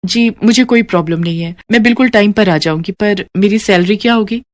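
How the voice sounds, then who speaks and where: A woman's voice: medium pitch, fairly quick, lightly acted. A woman voicing a poor, earnest and polite young woman in a cartoon story. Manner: polite, deferential. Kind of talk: acted dialogue in a Hindi cartoon story.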